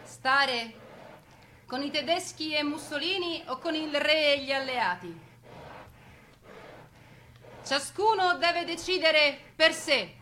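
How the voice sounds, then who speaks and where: High-voiced woman narrating theatrically, slowly. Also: lively intonation, pushed and bright, a little hiss or hum. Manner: dramatic, deliberate phrasing. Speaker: stage narrator and actress.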